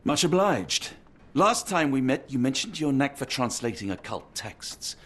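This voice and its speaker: A man's voice: mid-range voice, big pitch swings, a little slow, fairly bright tone. A man with a British accent playing an earnest, formal doctor and loyal companion in a video game. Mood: inquiring. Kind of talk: scripted video game cutscene acting.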